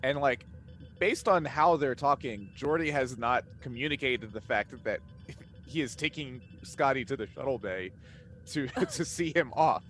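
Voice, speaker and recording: A man chatting casually in a group as a cast member answering fan questions, telling things in an animated, informal way. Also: medium pitch, unhurried, a little hiss or hum.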